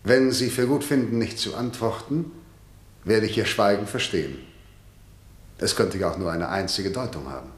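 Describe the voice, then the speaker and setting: An actor playing a stern, formal, middle-aged prosecutor and authoritarian father, measured and condescending, in a vintage radio play. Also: lowish voice, lightly acted. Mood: formal, pressing. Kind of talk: mid-century German radio drama acting. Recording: roomy 1960 radio drama studio.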